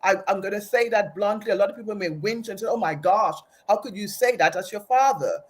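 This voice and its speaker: A woman's voice: mid-range voice, a little fast, husky. Earnest woman. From Aruba, raised in Trinidad. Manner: earnest, reflective, often restarts phrases. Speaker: guest. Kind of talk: personal storytelling in an interview.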